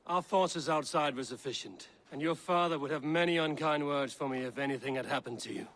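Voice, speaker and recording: An actor playing a stern, protective Viking clan champion in a game cutscene, speaking in a measured, resolute way. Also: male voice, a little high, fairly bright tone, lightly acted. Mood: reassuring. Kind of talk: video game cutscene acting.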